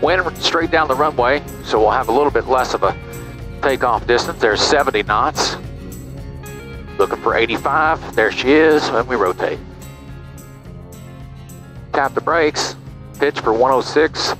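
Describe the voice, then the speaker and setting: A man's voice: medium pitch, unhurried, pauses often, fairly bright tone, faint music behind. A middle-aged American man speaking casually as a pilot and vlogger into a headset mic in a small airplane cockpit. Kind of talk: casual cockpit vlog and radio calls. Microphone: aviation headset boom mic.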